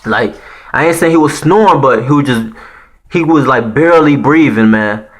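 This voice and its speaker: A man's voice: mid-range voice, moderate pace. Haitian-accented man telling a story. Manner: slangy and profane, peppered with "man" and "you know what I'm saying". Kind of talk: casual spoken storytelling to viewers.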